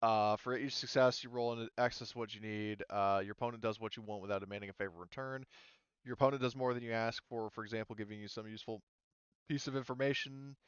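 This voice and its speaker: A man running a tabletop roleplaying game as game master, talking haltingly and thinking aloud as he goes. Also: lowish voice, steady tone, clean tone. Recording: roomy, slightly muffled microphone.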